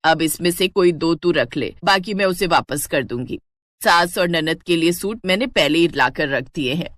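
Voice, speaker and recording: Woman voicing a low-pitched, caring older mother. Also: lively intonation, moderate pace, fairly bright tone.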